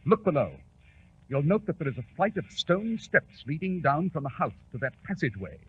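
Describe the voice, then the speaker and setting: An actor playing a brisk, commanding, self-assured detective in a theatrical, crisp 1940s radio drama style. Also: male voice, on the high side, very animated. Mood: confident, explaining. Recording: tinny, noisy 1940s radio recording.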